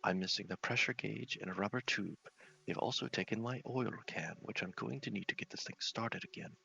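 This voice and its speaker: A man's voice: fairly low pitch, a little fast, creaky, sharp tone, slightly rough, muffled recording, exaggerated voice. A man voicing an eccentric, polite, muddled scientist with fussy speech in an ASMR game roleplay. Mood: apologetic.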